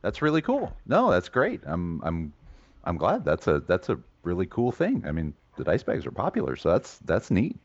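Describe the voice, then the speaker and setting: A man playing a hesitant, needy colleague in a comic skit, halting and stammering as he prods. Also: low-pitched, huge pitch swings, dry recording, highs cut off, full character voice. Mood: hesitant, polite. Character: hesitant, increasingly needy colleague fishing for credit. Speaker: show colleague. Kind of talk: scripted comic skit, conversational.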